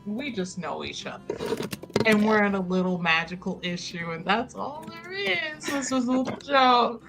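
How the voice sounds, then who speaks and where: A woman playing a tabletop roleplaying game, chatting and improvising casually in a giggly, animated way. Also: medium pitch, moderate pace. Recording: clear music behind the voice. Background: background music.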